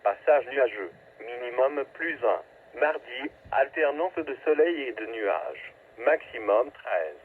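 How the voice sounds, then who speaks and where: A man's voice: mid-range voice, animated, takes it slowly, breathy edge. A synthetic male voice reads scripted weather forecasts in clipped, impersonal phrases over a radio. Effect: radio transmission. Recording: narrowband, staticky weather radio broadcast.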